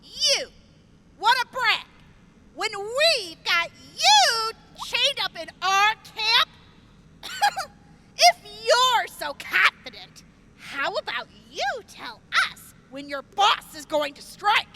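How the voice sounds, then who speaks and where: A woman's voice: very high-pitched, sing-song, takes it slowly, very noisy, exaggerated voice. An actress playing a brash, taunting female mercenary contractor who threatens a prisoner in an audio drama.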